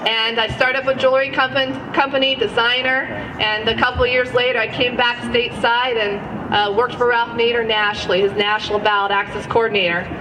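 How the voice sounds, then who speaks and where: A woman in her thirties gives an earnest rally speech, her words tripping into fillers and quick self-corrections. She sounds set back from the microphone. The crowd is clearly audible around her. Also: fairly high pitch, fairly even intonation. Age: 30s. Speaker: guest speaker at a rally. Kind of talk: public speech. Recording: distant mic with audience noise.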